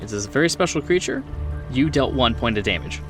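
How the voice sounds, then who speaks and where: A man's voice: mid-range voice, lively intonation, fairly quick. A man running a horror tabletop roleplaying game as game master, explaining rules and describing scenes off the cuff in a conversational way. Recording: distant mic, clear music behind. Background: music bed.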